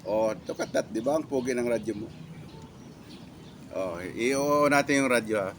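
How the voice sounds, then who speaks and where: A Filipino man giving a casual product demo as a reviewer, talking in a halting, repetitive way with catchphrases. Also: medium pitch, background noise.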